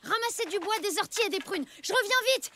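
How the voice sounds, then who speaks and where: Very high-pitched, bold cartoon boy. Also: expressive, fairly quick, pushed and bright, slight background noise, exaggerated voice. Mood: confident, scheming. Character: bold, resourceful prehistoric boy taking charge. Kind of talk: French animated series dubbing.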